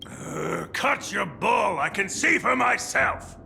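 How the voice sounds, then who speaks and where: A brash gang underling from a video game, proud and honour-bound. His high voice has a creaky edge and lively swings as he blusters dramatically. Music plays loudly behind him. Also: takes it slowly, exaggerated voice. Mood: defiant. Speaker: gang member.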